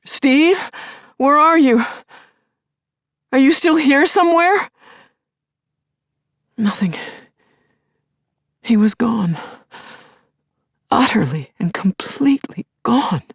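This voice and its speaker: Grieving middle-aged widow for intimate audio drama: gravelly low edge, unhurried, halting and emotionally charged. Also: female voice, dry recording, studio quality, full character voice. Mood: anguished, searching, then bereft, recounting. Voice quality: husky, breathy, often dropping to a whisper. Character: grieving middle-aged widow recalling her returned dead husband, tender, yearning. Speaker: lead actor in an audio play. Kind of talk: intimate first-person audio drama monologue.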